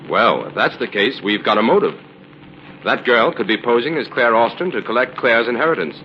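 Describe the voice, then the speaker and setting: An actor playing a confident, shrewd, commanding investigator in an old-time radio drama. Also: male voice, medium pitch, animated, brisk, natural voice. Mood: grave, reasoning. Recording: muffled, noisy old radio recording.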